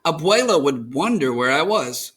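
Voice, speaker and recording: A man reading a children's picture book aloud as an expressive storyteller, enunciating for young listeners. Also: fairly high pitch, moderate pace.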